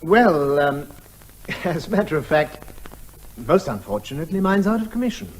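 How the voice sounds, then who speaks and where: An English actor playing a mild, courteous solicitor in a comic radio drama, formal, hesitant and apologetic. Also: male voice, on the high side, sing-song, normal speed, low-quality recording. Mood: diffident.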